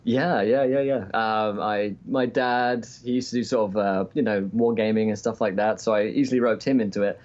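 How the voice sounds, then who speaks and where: A man's voice: fairly low pitch, steady tone, normal speed, hardly any pauses, a little mellow, muffled recording. A man in his early twenties chatting casually as a guest over a remote call, wry and self-deprecating. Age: early 20s. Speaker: podcast guest, game master. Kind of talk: casual chat.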